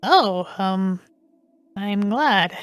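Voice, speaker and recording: A woman's voice: mid-range voice, expressive, extremely slow. A woman in a fan dub voicing a young queen who is cautious, curious and well-meaning, speaking hesitantly and questioningly. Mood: concerned, reasoning.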